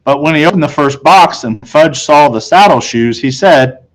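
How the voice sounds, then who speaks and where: A man's voice: a little high, expressive, normal speed, poor audio. Man reading a children's story aloud. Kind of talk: read-aloud storytelling, first-person narration.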